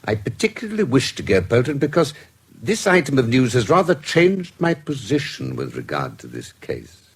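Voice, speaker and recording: An actor playing a measured, authoritative British investigator in a radio drama, speaking with precise, formal, dry courtesy. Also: male voice, mid-range voice, huge pitch swings, slightly rough, heavily acted. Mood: decisive.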